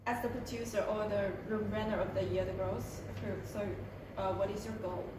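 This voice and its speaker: Chinese woman hosting in english, pauses a lot with uh, far from the mic, background noise. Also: mid-range voice, fairly even intonation. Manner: halting non-native English with frequent "uh" pauses. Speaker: interviewer and video host. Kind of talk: hosting an interview. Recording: very echoey room, mic far off, noisy background.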